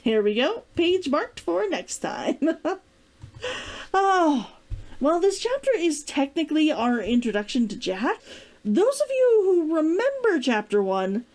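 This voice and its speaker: Woman, read-along host, expressive story narration, slightly high pitch, very lively intonation, soft mellow tone. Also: a little slow.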